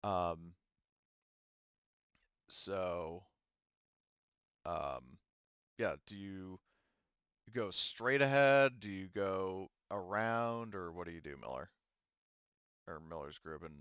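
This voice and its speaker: A man running a tabletop game, narrating off the cuff in a halting, conversational way. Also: low-pitched, a bit bright, clean tone, dry recording, highs cut off. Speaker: game master.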